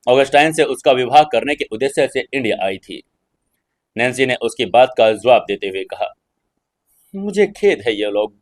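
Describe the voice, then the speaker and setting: A man narrating a scripted dramatic audio story. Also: mid-range voice.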